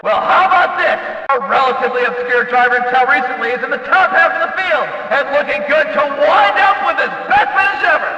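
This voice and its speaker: A man playing an excitable race announcer in a video game, calling the race from the booth in a folksy, emphatic, dramatic way. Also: very high voice, brisk, hardly any pauses. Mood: upbeat, excited. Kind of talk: excited motorsport race commentary. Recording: echoey track PA, slightly dull, distant.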